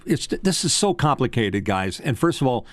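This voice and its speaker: A man's voice: big pitch swings, moderate pace, dry recording, clean studio sound. A man co-hosting a casual talk show about radio technology, rambling and poking fun at himself. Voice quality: deep voice with strong upper mids. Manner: loose, rambling, self-deprecating joker. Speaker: co-host.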